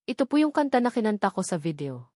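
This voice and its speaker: A man's voice: high voice, sing-song, quick pace, dark tone, no room sound, studio quality. A woman narrator playing a humble young male singer who speaks politely and deferentially in an audio love story. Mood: excited, humble.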